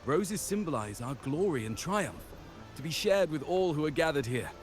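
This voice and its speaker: A man's voice: fairly high pitch, animated, moderate pace, close to an everyday voice. A man playing a genial, formal master of ceremonies in a fantasy game, speaking in a ceremonious, reassuring way. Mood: courteous, reassuring. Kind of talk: scripted fantasy game dialogue. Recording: music and outdoor ambience behind.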